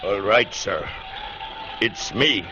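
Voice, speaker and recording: An actor playing a loyal, steady boatman who speaks plainly and deferentially in an old radio drama. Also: on the low side, slow. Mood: weary, reassuring. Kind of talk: 1940s-50s radio drama acting. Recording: dull, noisy old radio recording.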